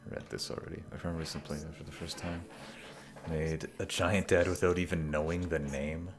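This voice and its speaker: A man's voice: extremely low pitch, steady tone, brisk. A man streaming a video game, chatting casually and jokily with lots of trailing fillers. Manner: rambling, jokey, trailing filler words. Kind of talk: casual chat while gaming.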